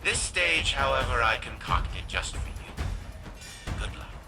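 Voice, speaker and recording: An actor playing an arrogant old scientist's mind living on as an AI, pompous and sardonic, speaking formally in a video game. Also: male voice, mid-range voice, very animated, unhurried. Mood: scornful, amused. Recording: loud music behind the voice. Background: loud game soundtrack music.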